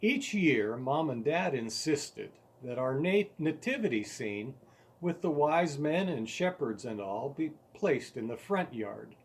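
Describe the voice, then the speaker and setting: A man reading his own story aloud as its author, in an expressive, deliberate storytelling manner with emphasized words. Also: mid-range voice, slightly rough. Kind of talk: scripted story narration.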